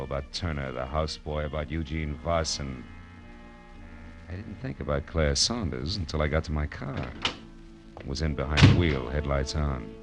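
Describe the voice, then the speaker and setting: Tough sardonic private eye, deep voice, dry and clipped, old radio noir w/ some music. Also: male voice, fairly bright tone. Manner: wry, clipped, dry delivery. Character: hard-boiled, sardonic male private detective. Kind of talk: 1940s-50s radio noir acting and narration. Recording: vintage radio broadcast recording.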